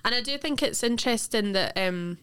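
A woman's voice: a little high, lively intonation, brisk, fairly bright tone, smooth. A young woman, co-hosting a casual podcast chat and talking loosely with fillers and the odd swear word. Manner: chatty, swearing, many fillers. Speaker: podcast host.

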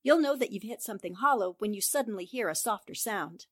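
A woman acting a sharp, confident young newspaperwoman in a 1940s-style radio drama, crisp and assured as she reasons things out. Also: medium pitch, animated, fast, natural voice. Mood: assured, excited. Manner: crisp, assured, explaining deductions.

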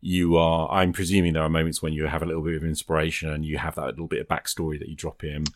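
A man's voice: extremely low pitch, level tone, fast, dry recording. A man talking casually and reflectively, explaining his thoughts as he works them out in conversation. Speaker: podcast host. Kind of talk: casual discussion of solo role-playing games.

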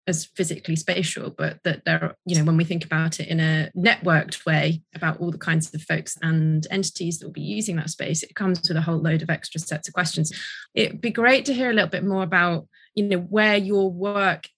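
A woman's voice: on the low side, steady tone, a little fast, creaky, a bit bright, husky. A woman interviewing in a reflective, discursive conversational way.

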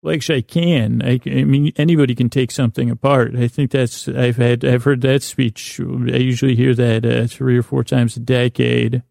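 A man hosting a bedtime podcast with a rambling, absurd comic monologue, halting and often losing his thread, his words a bit slurred and mumbled. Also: mid-range voice, level tone, gravelly, a little mellow, no room sound, clean studio sound.

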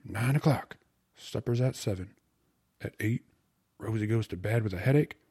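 A man's voice: lowish voice, moderate pace, dark tone, breathy edge. A man voicing a cheerful, cocky young suitor who talks in eager, colloquial slang for an audiobook. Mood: eager, urgent. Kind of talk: audiobook character voice.

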